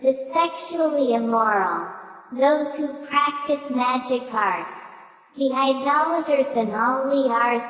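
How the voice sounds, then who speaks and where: A woman's synthetic text-to-speech voice giving a formal, deliberate scripted reading of scripture. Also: high voice. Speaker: scripture reader. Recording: echoey hall with long reverb.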